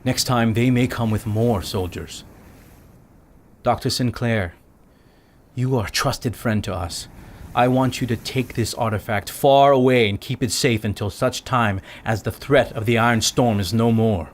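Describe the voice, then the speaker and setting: A man playing a dignified island king who speaks calmly and solemnly. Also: medium pitch, measured pace, slight background noise, close to an everyday voice. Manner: calm, solemn. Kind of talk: radio play acting.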